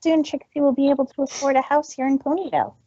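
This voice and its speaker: A woman's voice: high-pitched, steady tone, fast talker, mellow, lo-fi sound, natural voice. A woman voicing a showy female pony magician who speaks theatrically in the third person, in a fan fiction reading. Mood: hopeful.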